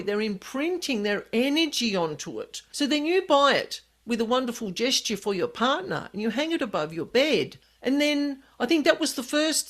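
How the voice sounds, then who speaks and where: Aussie lady podcast host, croaky hoarse voice from a bug, fairly high, chatty and earnest. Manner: chatty and earnest, explains at length. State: hoarse from a virus. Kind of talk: casual podcast chat.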